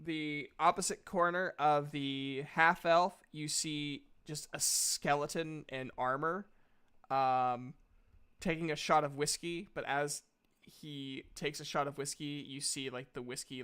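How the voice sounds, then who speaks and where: A game master narrating a tabletop fantasy game in a halting, conversational way. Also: medium pitch, fairly even intonation, fairly bright tone, smooth.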